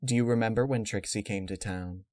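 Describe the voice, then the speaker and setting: A man voicing a cold, calculating female unicorn villain who explains menace in a measured, matter-of-fact way. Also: on the low side, a little mellow, dry recording, lightly acted. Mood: calm menace.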